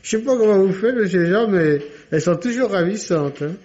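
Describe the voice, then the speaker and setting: A man's voice: high voice, lively intonation, a little fast, darkish tone. Chatty, folksy doorman in muffled old recording. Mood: teasing, cheeky. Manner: rambling, folksy, full of interjections. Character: chatty, folksy male office doorman, deferential yet cheeky. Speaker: doorman and receptionist. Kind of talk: old French radio drama acting. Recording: dull, noisy vintage radio recording.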